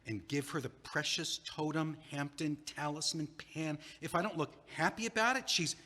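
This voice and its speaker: A man's voice: fairly high pitch, moderate pace, sharp tone. A man playing a flustered young man who rambles and stammers in exasperation, recorded in a theater. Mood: apologetic. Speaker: playwright reading stage directions and acting. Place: performing arts theater. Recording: reverberant theater stage.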